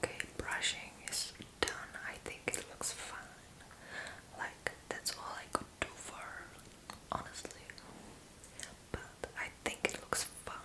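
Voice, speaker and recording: A young woman in her twenties whispers through an ASMR roleplay. She takes her time, very slow and soft, with a casual way of talking. She sits close to the mic in a small room. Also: rough recording. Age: 20s. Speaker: ASMR creator.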